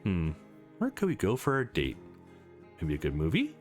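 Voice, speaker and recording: A man's voice: deep voice, wildly swooping pitch, normal speed, faint music behind, voice pushed down. A man voicing an eager, slightly unsure young male protagonist of a visual novel game. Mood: upbeat, musing.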